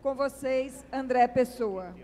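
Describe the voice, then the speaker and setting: High-voiced woman introducing a speaker. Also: in a large hall, noisy background. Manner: formal, measured. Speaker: event presenter. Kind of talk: formal reading of a speaker introduction.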